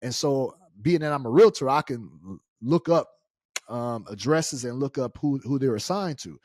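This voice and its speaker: A man's voice: mid-range voice, expressive, normal speed, darkish tone, no echo, clean studio sound. A middle-aged American man telling a personal story casually and colloquially, acting out the dialogue as he goes. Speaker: storyteller, show host.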